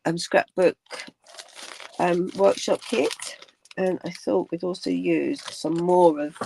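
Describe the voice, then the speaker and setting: An older woman with a croaky voice, chatting casually through a craft tutorial, rambling with many ums and dropping to a whisper while she works. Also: on the low side, steady tone, slow pace, dark tone, a little hiss or hum, poor audio. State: croaky from cold weather. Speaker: crafting channel host.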